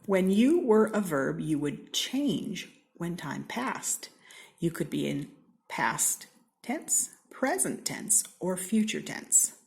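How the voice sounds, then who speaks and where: Casting: American woman, slightly low voice, unhurried and very animated, for children's picture-book read-alouds with playful emphasis. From Seattle, United States. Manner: theatrical, deliberate, with playful emphasis and whispered asides. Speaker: storybook reader.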